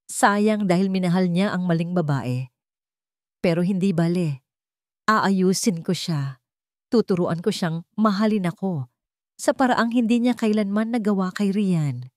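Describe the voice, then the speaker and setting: A woman's voice: lowish voice, lively intonation, unhurried, long pauses, a little mellow, no room sound, studio quality. A woman with a Filipino accent reading a scripted story in the first person as a dramatic audiobook narrator.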